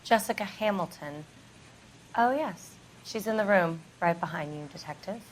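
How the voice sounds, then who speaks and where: A woman's voice: mid-range voice, normal speed, slight background noise, close to an everyday voice, rough recording. An actress voicing a polite, helpful female hospital receptionist.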